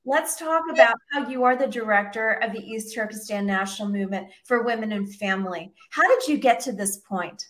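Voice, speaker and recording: A woman's voice: on the high side, expressive, fairly quick, hardly any pauses, a bit bright. Emphatic woman talk show host. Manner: emphatic, repeats questions. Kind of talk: interviewing a guest.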